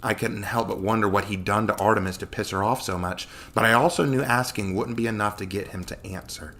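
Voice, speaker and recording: A man's voice: lowish voice, a little fast, vocal fry, fairly bright tone. A man reading a story aloud in a dramatic audiobook style. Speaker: story reader.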